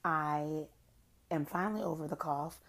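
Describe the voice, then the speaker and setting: Young woman, podcast host, hoarse, rambling gossip chat, lowish gravelly voice. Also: measured pace, a bit bright. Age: young adult. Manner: chatty, rambling, self-described low-key shady. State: hoarse, has lost her voice. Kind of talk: casual solo podcast chat.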